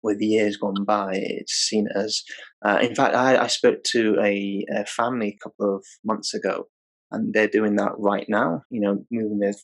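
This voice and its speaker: A man hosting a relaxed interview, easygoing and conversational. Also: fairly low pitch, normal speed, low-quality recording. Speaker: podcast host. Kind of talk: casual interview chat.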